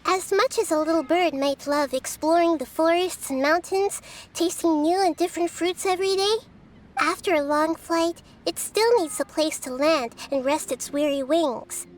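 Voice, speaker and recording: Game casting: a tiny wise girl spirit, very high childlike voice, gentle, curious and measured, over background music. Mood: content, gentle. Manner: soft-spoken, measured, whimsical, gently teasing. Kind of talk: anime video game character voice lines.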